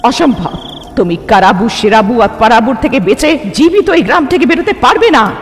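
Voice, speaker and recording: Cartoon village aunt, played by a man: grim, ominous, extremely high voice, lively swings, drawn-out warnings. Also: long reverb tail. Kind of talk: cartoon voice acting.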